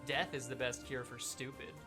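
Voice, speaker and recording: A reader voicing a tiny, vulgar, fierce ancient grandmother who talks in a loud, gruff, blunt way. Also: mid-range voice, fairly even intonation, moderate pace, natural voice. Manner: gruff, blunt rural speech; loud, forceful. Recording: guitar music underneath.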